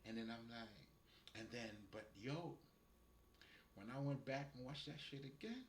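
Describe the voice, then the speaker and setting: A man's voice: mid-range voice, moderate pace, roomy sound, a little hiss or hum. A man with a Haitian accent talking loosely and profanely about spirituality, laughing often. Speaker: spiritual guide. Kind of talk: casual spiritual monologue.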